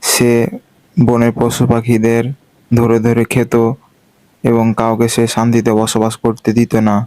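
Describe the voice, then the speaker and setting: A man's voice: medium pitch, monotone, measured pace, darkish tone, no echo, low-quality recording. A man narrating a children's animal story from a script.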